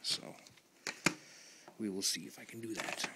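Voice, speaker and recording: A man with a hoarse voice talking quietly and rambling with many ums as he lists items for his vlog. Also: on the low side, a little slow, heavy background noise. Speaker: video collector host. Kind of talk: casual vlog, listing items.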